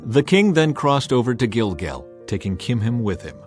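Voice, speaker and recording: A man's voice: medium pitch, big pitch swings, normal speed, faint music behind. A man narrating scripture as a scripted, dramatized audio reading. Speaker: Bible narrator.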